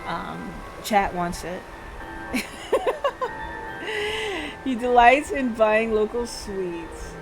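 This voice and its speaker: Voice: medium pitch, drawn-out words, vocal fry, long pauses, poor audio. A streamer reading a visual novel aloud and breaking off into playful, chatty asides to chat. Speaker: livestreamer. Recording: background music behind the voice. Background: music.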